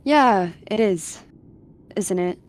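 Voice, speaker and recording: A woman's voice: medium pitch, expressive, slow, a little mellow. A woman voicing a young, anxious and huffy female clan cat in a roleplay, hesitant and whiny.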